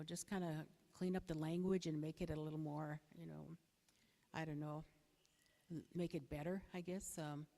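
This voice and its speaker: A middle-aged woman with a soft voice giving a hesitant, plain-spoken, unscripted answer as a candidate at a public forum. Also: lowish voice. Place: bingo hall, public forum over a PA. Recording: echoey hall with long reverb.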